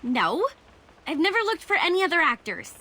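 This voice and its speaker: Brash, high-pitched hot-tempered young director. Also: female voice, big pitch swings, normal speed, pushed and bright, heavily acted. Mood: confused, alarmed. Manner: combative, snappy. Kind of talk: dramatic video game voice acting.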